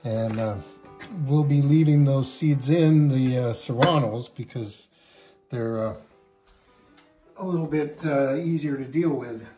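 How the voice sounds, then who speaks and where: An older man hosting a home cooking show, explaining each step in a relaxed way with frequent pauses, in a kitchen and then out at a backyard grill. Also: mid-range voice. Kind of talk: casual cooking demonstration. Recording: music playing underneath.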